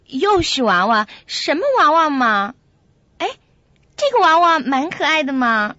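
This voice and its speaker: Casting: flippant young female flatmate, high swooping voice, brash radio-drama style. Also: measured pace, dry recording, full character voice. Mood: nonchalant, annoyed. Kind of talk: Mandarin radio drama acting. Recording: muffled lo-fi radio drama, faint music.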